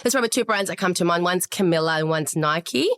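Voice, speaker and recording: Low-voiced woman podcast guest. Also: a bit bright. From Chile, raised in Australia. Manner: thoughtful, measured, with frequent fillers. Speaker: podcast guest, sales director. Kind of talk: conversational interview.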